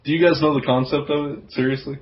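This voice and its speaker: A man's voice: on the high side, fairly even intonation, fast talker. A man hosting a podcast, talking informally in a casual group chat. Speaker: podcast host. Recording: dull, lo-fi podcast audio.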